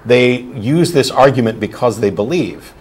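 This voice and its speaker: A man's voice: mid-range voice, moderate pace, breathy edge. A man hosting a call-in talk show, answering a caller bluntly and explaining his arguments at length. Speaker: host.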